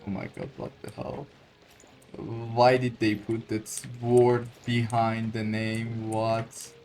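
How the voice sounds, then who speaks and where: A man giving casual game commentary, halting as he searches for words, with game music behind him. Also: lowish voice, monotone, a little mellow. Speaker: gaming streamer.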